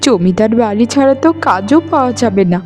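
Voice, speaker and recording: A woman's voice: on the high side, a little fast, a little mellow, soft background music, lightly acted. A woman voicing a long-suffering poor village wife and mother in a cartoon, plaintive and weary. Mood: worried resolve. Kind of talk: cartoon voice acting in a moral story.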